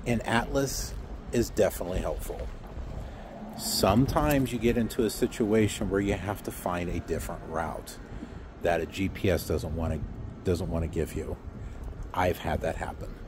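A man's voice: low voice, normal speed, noisy background. A middle-aged man vlogging outdoors into a phone held at arm's length, rambling sarcastically with lots of fillers. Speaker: trucking vlogger. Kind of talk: casual outdoor vlog rant. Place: outdoors by a block wall.

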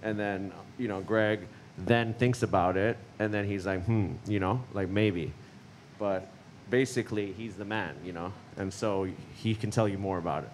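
A man's voice: lowish voice, fairly even intonation, moderate pace, slight background noise. Laid-back man chatting on a panel. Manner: laid-back, lots of "you know". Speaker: gallery founder, panelist. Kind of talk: casual panel chat. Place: large gallery, indoors. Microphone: handheld mic.

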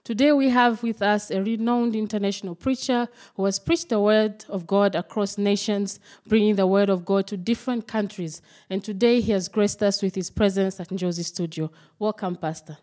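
A woman's voice: mid-range voice, fairly even intonation, moderate pace, few pauses, a little mellow. A woman hosting a studio interview, speaking formally and courteously. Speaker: interview host.

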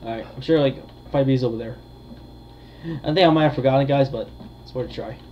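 A man giving casual, hesitant gameplay commentary with frequent pauses. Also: medium pitch. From United States. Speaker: gamer, video creator. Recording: dull lo-fi mic, faint noise.

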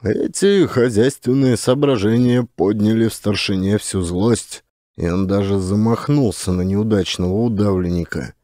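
A man narrating a literary story as an expressive audiobook storyteller. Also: lowish voice, moderate pace, no echo, studio quality.

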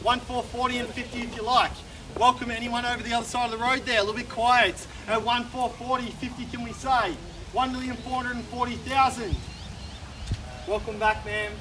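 An Australian man in his thirties works a crowd at a house auction, his voice riding unusually high as he pushes the bids along in quick, coaxing patter. He is caught from well back by a camera on a suburban street. Heavy traffic and street noise press in around him. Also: little pitch movement, recorded from a distance. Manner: brisk persuasive auction patter, repeating the figures. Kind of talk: live auction calling. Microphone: camera filming from some distance, no mic seen.

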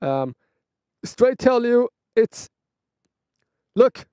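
A man's voice: very high voice, sing-song, very slow, dark tone, clean tone, no echo, clean studio sound. A man from Switzerland with a Swiss German accent, answering viewer questions in a casual vlog outdoors, chatty and often restarting his sentences. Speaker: motovlogger. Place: outdoors by a roadside bench.

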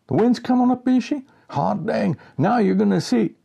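A man reading a storybook, voicing a cocky, excitable backwoods outdoorsman with a folksy rural American accent. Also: sits high, normal speed, creaky, husky, muffled recording, voice pushed up, full character voice. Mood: excited. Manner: folksy, exclamatory.

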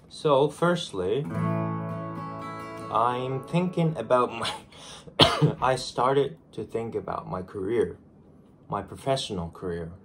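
A Japanese man in his twenties with a Japanese accent, chatting casually to camera in his bedroom, groggy from just waking up. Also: lowish voice. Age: 20s. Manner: chatty, self-deprecating, restarts phrases. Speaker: vlogger. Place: small bedroom, indoors. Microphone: camera in front at desk.

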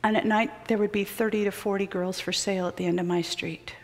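A middle-aged woman preaching a sermon built on personal stories, shifting between loud urgency and hushed near-whispers. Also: medium pitch, fairly even intonation, a little fast. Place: large church sanctuary with choir loft. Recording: long hall reverb of a large sanctuary.

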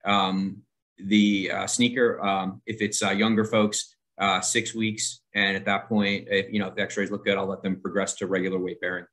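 A man on a video call, a surgeon explaining his procedure step by step in a brisk, practical way with many ums. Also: low voice, level tone, fairly bright tone. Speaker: surgeon panelist. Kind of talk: clinical explanation. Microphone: video call webcam.

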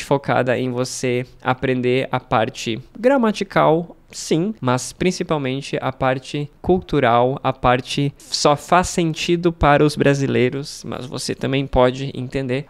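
A man's voice: mid-range voice, a little slow, hardly any pauses, clear, resonant tone. A Brazilian man in his thirties, a language teacher, chatting animatedly to camera from a room at home into a studio mic. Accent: Brazilian Portuguese. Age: 30s. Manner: animated, gestures a lot with both hands, laughs between phrases. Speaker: language teacher and channel host. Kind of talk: casual talking-head vlog. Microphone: studio mic on a stand in frame.

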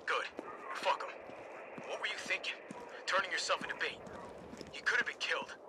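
A man's voice: high-pitched, normal speed, noisy background, close to an everyday voice. A man playing a gutsy, loyal soldier in a video game, casual, reassuring and given to swearing. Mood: concerned, gruff. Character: gutsy, loyal, foul-mouthed male soldier. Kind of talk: video game cutscene acting.

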